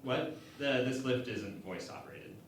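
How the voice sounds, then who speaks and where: A man's voice: mid-range voice, animated, unhurried, lightly acted. A man playing an earnest, oblivious and tentative crewman in a sci-fi parody radio play. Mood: awkward, flustered. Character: awkward, earnest, slow-witted male starship crewman. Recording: very echoey, distant mic, faint noise.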